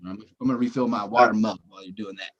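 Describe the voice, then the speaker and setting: A man's voice: fairly low pitch, fairly even intonation, very fast, muffled recording, a little hiss or hum. A man on a casual livestream chat, walking through puzzle clues step by step, joking now and then and checking with his listener. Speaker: treasure-hunt searcher presenting clues.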